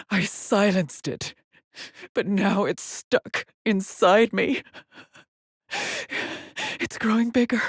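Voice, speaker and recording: A woman playing an anguished, trapped astronaut in a dramatic video game, with a husky, breathy voice and a halting, haunted delivery. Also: medium pitch, no room sound, clean studio sound, heavily acted.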